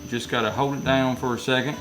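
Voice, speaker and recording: A man's voice: medium pitch, fairly even intonation, fast, over music, poor audio. Folksy Southern man reviewing radios. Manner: rambling, self-correcting, folksy. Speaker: product reviewer. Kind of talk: casual product review. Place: inside a metal building.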